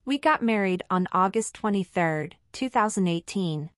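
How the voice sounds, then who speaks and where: A woman's voice: mid-range voice, expressive, moderate pace, dry recording, studio quality, close to an everyday voice. A woman playing a polite married citizenship applicant in a scripted practice interview, answering formally and carefully in full sentences. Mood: polite, composed.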